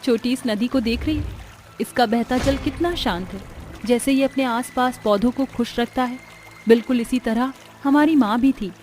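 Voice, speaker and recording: A poor young seamstress and devoted elder sister played by a woman speaks in a fairly high voice. She sounds earnest, soothing and protective, as in an animated fable with background music. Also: normal speed, natural voice. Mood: gentle.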